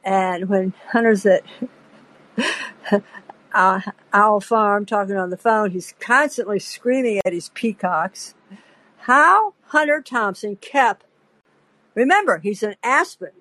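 A woman's voice: mid-range voice, slow, long pauses, fairly bright tone, no echo, rough recording. Older woman telling rambling anecdotes. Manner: chatty, digressive, laughs mid-story. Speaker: podcast host.

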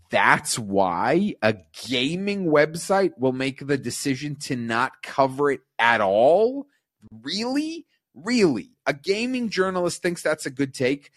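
A man's voice: medium pitch, no echo, studio quality. A man hosting a casual, opinionated podcast chat, speaking emphatically with swearing and repeating himself for effect. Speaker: podcast host.

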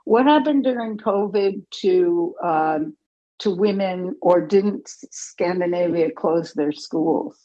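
A woman moderates a panel at a slow, unhurried pace, her voice mellow and a little husky. The audio is dull and lo-fi. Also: lowish voice. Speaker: host.

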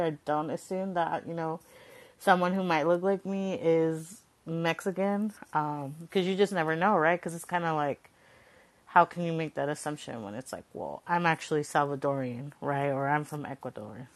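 A young woman from Southern California, reflecting casually and conversationally, peppering her talk with "like" and checking in with "right?". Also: deep voice. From Southern California, Coachella Valley. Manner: informal, conversational, frequent 'like' and tag 'right?'. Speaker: podcast speaker.

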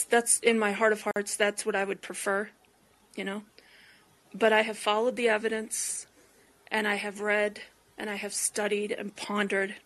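A woman hosts a live political discussion with a creaky, gravelly edge to her voice. Her pitch sits in an ordinary range, and she talks earnestly and insistently, pressing her points. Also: poor audio. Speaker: podcast host. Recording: live call-in app audio.

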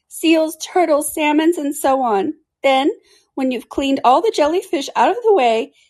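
A woman reading a storybook as a mournful, excitable male turtle creature with a solemn, emphatic delivery. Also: high voice, a little slow, voice pushed up. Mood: excited.

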